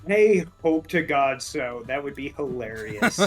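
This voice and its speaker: A man co-hosting a casual podcast, chatty and quick, with many stumbling restarts. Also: medium pitch, very animated, faint music behind. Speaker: podcast co-host.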